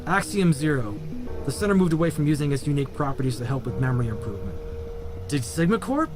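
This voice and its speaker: A man acts out an eccentric scientist in an audio drama, anxious and earnest, with a high-pitched voice for a man. Clear music runs underneath. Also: expressive, fast talker, lightly acted. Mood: uneasy. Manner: earnest, uneasy.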